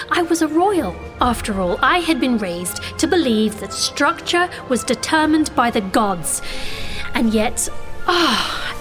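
A woman's voice: fairly high pitch, a bit bright. A woman playing a young, sheltered sorceress princess who earnestly narrates her own story in an audio drama. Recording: music behind.

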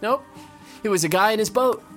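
A woman's voice: medium pitch, a little fast, fairly bright tone, faint music behind, lightly acted. A woman playing a stubborn, resentful young male college rower in an audio drama. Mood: scornful. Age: young adult.

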